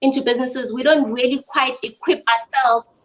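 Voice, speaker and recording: High-voiced woman, earnest and measured. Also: fairly even intonation. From South Africa. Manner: measured, earnest, with frequent ums. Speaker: spa business owner. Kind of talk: interview answers. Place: her mother's home. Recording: dull lo-fi audio.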